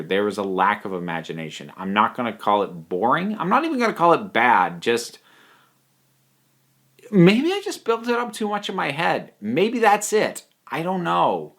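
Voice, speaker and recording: A man hosting a casual video review, talking to viewers in a halting, thinking-aloud way with emphatic pauses. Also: on the low side, a bit bright. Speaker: video reviewer and channel host.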